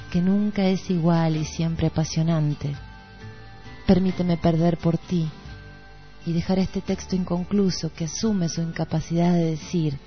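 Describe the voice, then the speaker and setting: Low-pitched Argentine woman reciting poetry. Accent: Argentine Spanish. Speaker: radio host. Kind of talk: reading a poetic literary text aloud on radio. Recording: dull lo-fi radio audio. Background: music playing under the voice.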